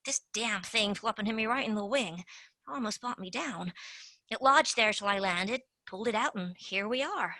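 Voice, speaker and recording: A woman playing a pushy, scheming young female tooth fairy agent in a stage play, fast-talking and dropping into conspiratorial whispers. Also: mid-range voice, bright, forceful tone, dry recording. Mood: breezy. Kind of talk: amateur stage-play acting.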